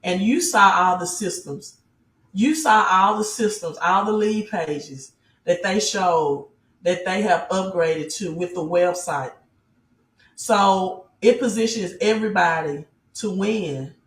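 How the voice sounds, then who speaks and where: Woman doing a hype business pitch online, echoey room, really stresses and repeats key phrases, keeps asking questions. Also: slow, a bit bright. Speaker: business promoter and team leader giving an online presentation. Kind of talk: motivational sales pitch, speaking off the cuff.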